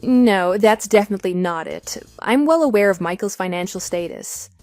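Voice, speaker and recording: A woman's voice: medium pitch, lively intonation, moderate pace, darkish tone, no room sound, natural voice. Woman acting a composed, dryly mocking wronged wife. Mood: dismissive, amused. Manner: matter-of-fact, dismissive, dryly mocking. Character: composed, wry wife divorcing her cheating husband. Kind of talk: scripted audio drama dialogue.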